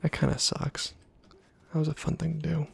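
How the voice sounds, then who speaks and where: A man giving casual, playful ASMR game commentary in a hushed, breathy half-whisper, muttering to himself close to the microphone. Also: a little high, level tone, moderate pace, a little hiss or hum. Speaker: gaming video host.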